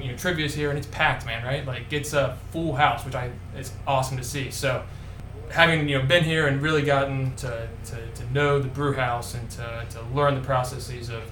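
A man who works as a brewer, answering in a casual interview and talking haltingly, with many restarts. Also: medium pitch, fairly even intonation, fairly bright tone. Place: brewery taproom. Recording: echoey room, faint noise.

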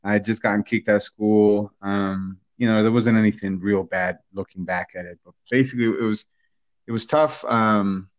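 Low-voiced man, relaxed and rambling. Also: moderate pace, no room sound, muffled recording. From Guatemala. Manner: relaxed, rambling, many fillers and restarts. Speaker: guest, hot sauce business owner. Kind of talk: casual interview talk.

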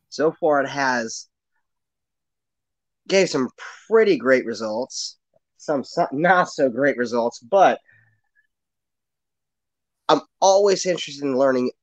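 A man's voice: mid-range voice, dry recording, rough recording. A man hosting a podcast, asking questions in a curious, hesitant way with lots of fillers. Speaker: podcast host. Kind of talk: casual interview chat.

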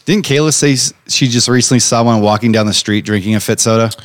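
Man, podcast co-host, rapid playful banter. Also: medium pitch. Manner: quick, playful interjections. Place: podcast studio.

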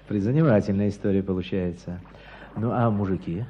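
An actor playing a curious listener who prompts a storyteller in a radio drama. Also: male voice, lowish voice, moderate pace, dark tone, lightly acted. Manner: interested, prompting. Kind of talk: scripted radio drama dialogue. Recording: dull, slightly noisy radio recording.

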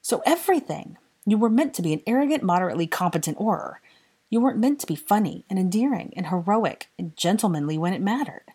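A woman voicing a bright, bossy young woman in love, emotional, indignant and exasperated, in dramatic audiobook dialogue. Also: fairly low pitch, lively intonation, fairly quick, breathy edge, voice pushed up.

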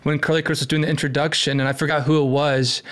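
Chill guy on a voice chat, kinda higher pitched, laid back. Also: level tone, fast, fairly bright tone. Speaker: participant in a group voice chat. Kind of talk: casual chat.

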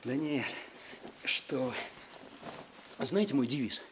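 An eager young policeman in a radio play, with a mid-pitched male voice. He is naive and earnest, speaking in a hurried, deferential way. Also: fairly bright tone, a little hiss or hum. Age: young adult.

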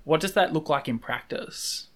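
A woman's voice: deep voice, lively intonation, moderate pace. A woman interviewing a group of guests, asking rambling, encouraging questions full of fillers. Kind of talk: casual interview.

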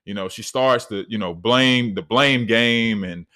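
A man in his thirties with a deep voice, hosting a solo podcast and talking earnestly, with many fillers and restarts. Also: a little slow, sharp tone. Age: 30s. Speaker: podcast host.

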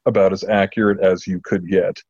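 Low-voiced man in a roomy, muffled recording. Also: little pitch movement, darkish tone, husky. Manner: enthusiastic, halting delivery with repeated words. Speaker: podcast host. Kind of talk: casual chat. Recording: muffled lo-fi audio in a roomy space.

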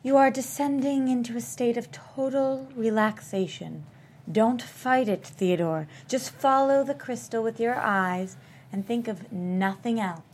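A woman's voice: fairly high pitch, unhurried, darkish tone, slight background noise, lightly acted. A woman playing a soothing, commanding hypnotist at a thriller table read.

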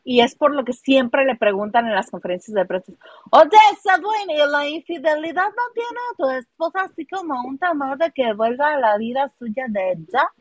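A woman's voice: sits high, lively intonation, moderate pace, no echo. A woman with a Mexican accent co-hosting a casual celebrity gossip live stream, playful and teasing as she talks and reads out viewer comments.

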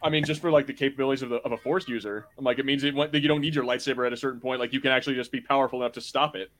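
Fast-talking man in casual chat. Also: medium pitch, steady tone, fairly bright tone. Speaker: podcast panelist. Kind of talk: casual film watch-party chat.

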